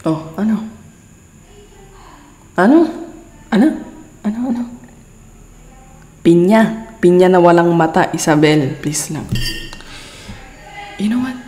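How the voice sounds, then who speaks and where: A woman's voice: lowish voice, slow pace, long pauses, mellow. A woman with a Filipino accent performing an intimate ASMR roleplay as an exasperated but doting girlfriend, scolding playfully and coaxing tenderly. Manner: playful scolding mixed with tender coaxing, code-switching into English. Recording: echoey room, long reverb, faint background noise.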